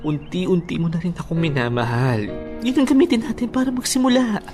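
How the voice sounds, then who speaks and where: A man's voice: high-pitched, animated, fairly quick, darkish tone. An actor playing a tender, insistent male suitor, coaxing and pleading in an emotional radio drama. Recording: music playing behind the voice.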